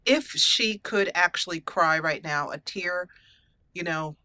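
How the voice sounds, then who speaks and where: A woman's voice: lowish voice, slow pace, pushed and bright, dry recording. A woman hosting a let's play, giving casual storytelling commentary on her roleplayed game character.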